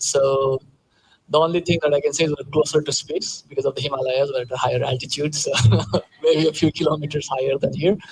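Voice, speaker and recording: A man's voice: on the high side, low-quality recording. A young man from Nepal, an engineer speaking as a panel guest, explaining casually with many fillers. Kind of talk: panel Q&A answers.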